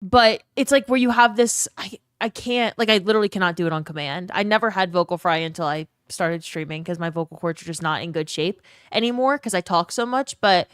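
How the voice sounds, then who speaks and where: A woman's voice: fairly low pitch, brisk, few pauses, no echo, studio quality. Chatty streamer woman, lilt. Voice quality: slight vocal fry at phrase ends. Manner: chatty, rambling, laughs often, thanks viewers by name. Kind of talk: casual live-stream chat.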